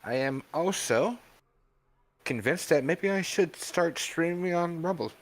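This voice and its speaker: A man on a podcast panel chatting casually, with a halting, informal delivery. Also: fairly high pitch, animated. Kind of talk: casual chat.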